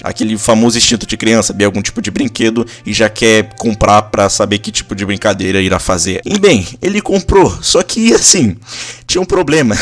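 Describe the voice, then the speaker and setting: A Brazilian man giving a casual, conversational anime episode recap and commentary in an informal way. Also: mid-range voice. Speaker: anime reviewer.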